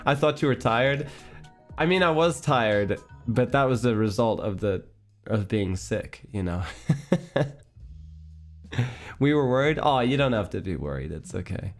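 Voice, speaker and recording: A man streamer chatting casually with his viewers, rambling with restarts and little breathy laughs. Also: mid-range voice, expressive, unhurried, pauses often, soft background music.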